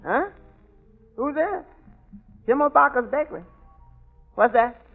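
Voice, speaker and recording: An actor playing a dry-humored tavern waiter in a 1940s radio sitcom, with folksy ungrammatical speech and stammered repeats. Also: male voice, extremely high pitch, animated, unhurried, vocal fry, heavily acted. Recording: tinny old radio broadcast with hiss.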